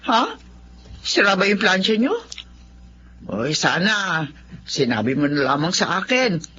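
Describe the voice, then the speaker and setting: Caring older mother, lively intonation. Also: on the low side, takes it slowly, slightly rough, muffled recording, full character voice. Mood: surprised, concerned. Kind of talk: Filipino radio drama acting.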